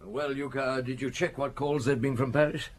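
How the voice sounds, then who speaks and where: A man's voice: medium pitch, fairly even intonation, normal speed, slight background noise, lightly acted. An actor playing a shrewd, laconic police chief inspector in a radio drama, terse and authoritative as he questions and gives orders. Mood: brisk, commanding.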